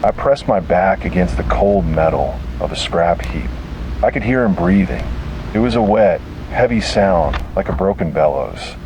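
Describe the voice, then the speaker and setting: A man's voice: sits low, lively intonation, moderate pace, background noise. A man reading a scripted first-person horror story in a grave, dramatic way.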